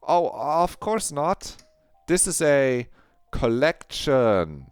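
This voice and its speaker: A man's voice: a little high, big pitch swings, extremely slow, smooth, no room sound, voice pushed up, exaggerated voice. A man voicing an anxious, eager teenage girl who rambles and stammers nervously. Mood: flustered.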